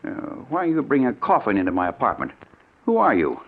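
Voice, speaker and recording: A man's voice: mid-range voice, huge pitch swings, fast. An actor playing a jumpy, irritable, blustering man in an old-time radio mystery. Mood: indignant, angry. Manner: blustering, hesitant when alarmed, snappish. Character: wealthy, jumpy, irritable man hounded by vengeance. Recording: muffled, tinny old radio recording.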